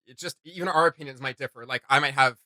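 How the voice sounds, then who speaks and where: A man hosting a reaction video with casual, excited commentary, speaking in an emphatic, slangy, exclamatory way. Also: mid-range voice, fast, dry recording. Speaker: reaction channel host. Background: music.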